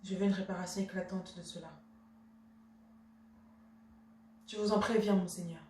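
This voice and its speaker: Casting: woman as proud, wronged Renaissance duchess, higher-pitched, imperious stage declamation, echoey room. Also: fairly even intonation, slow pace, a little hiss or hum. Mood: cold, menacing. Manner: imperious, declamatory, formal address. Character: proud, outraged Renaissance noblewoman, an insulted duchess wife. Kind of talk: theatrical classical stage monologue. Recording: echoey room, distant microphone.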